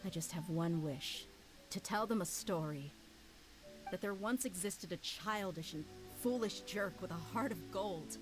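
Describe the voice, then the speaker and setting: A tough, big-hearted female mercenary from a fantasy game, voiced by a woman. Her voice sits low and steady, sincere without fuss. Clear game music plays behind her. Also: measured pace, lightly acted, rough recording. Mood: earnest. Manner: steady and earnest. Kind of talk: game voice acting.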